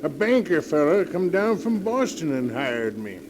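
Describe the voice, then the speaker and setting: A man's voice: on the high side, sing-song, a little slow. A man playing a folksy, hesitant village cab driver with rustic grammar, in 1940s radio drama style. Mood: matter-of-fact. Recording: noisy old 1940s radio recording.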